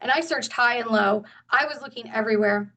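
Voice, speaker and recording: A woman in her forties gives an earnest presentation at a community meeting. Her voice sits a bit high for a woman, often pausing on fillers and restarting her sentences. She is picked up from some distance away from the mic. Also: sharp tone. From Northern California. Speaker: guest presenter.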